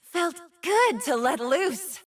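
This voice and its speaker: A woman voicing a young nine-tailed fox woman in a video game, soft-voiced, friendly and wise, with playful teasing. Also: sits high, sing-song, slow pace, far from the mic, full character voice. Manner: friendly, playful, dramatic delivery. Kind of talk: video game character voice lines.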